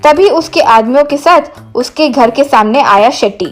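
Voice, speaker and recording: A woman narrates a scripted tale in a high-pitched voice. Music plays underneath her storytelling. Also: animated, moderate pace.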